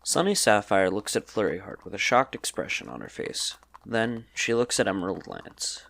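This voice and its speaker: Animated male storybook narrator, lowish voice. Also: normal speed, gravelly. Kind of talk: scripted dramatic storybook narration.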